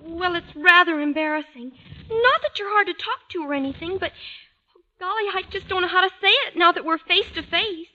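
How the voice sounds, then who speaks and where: Earnest schoolgirl, female, gushing and sweet-mannered, extremely high voice, slightly dull old-time radio sitcom recording. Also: fairly quick, bright, forceful tone, full character voice. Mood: eager, embarrassed. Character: earnest, gushing teenage schoolgirl, principal's daughter. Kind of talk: 1940s radio sitcom acting.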